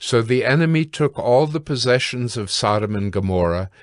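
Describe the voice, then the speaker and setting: A man narrating scripture from a script in a measured, deliberate way. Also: medium pitch, expressive, no room sound, studio quality.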